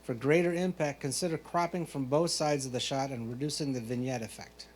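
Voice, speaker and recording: A man working as a photo competition judge, reading out prepared critiques in a measured, explanatory way. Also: medium pitch, fairly even intonation, a little mellow. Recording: clear background noise, hum.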